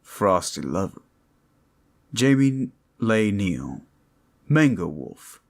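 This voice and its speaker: A man who makes roleplay audio, speaking casually and a little haltingly as he thanks his listeners. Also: on the low side, big pitch swings. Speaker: audio roleplay creator and performer. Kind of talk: casual outro addressed to listeners.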